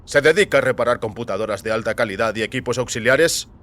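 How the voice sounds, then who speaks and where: A man's voice: mid-range voice, quick pace, fairly bright tone, lightly acted. Man playing a stern, insistent interrogating officer. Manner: pressing, clipped questioning. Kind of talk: audio fiction acting.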